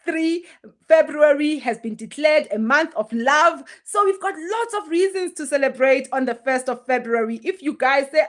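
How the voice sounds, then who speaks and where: A woman, hosting a casual livestream and talking straight to her viewers in an emphatic, chatty way. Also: high-pitched. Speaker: livestream host.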